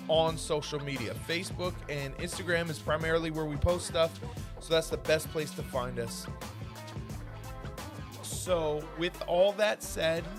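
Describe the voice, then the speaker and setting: A male podcast host with a slightly higher voice, rambling through slangy hype-man plugs. Music plays behind his loose, joking outro.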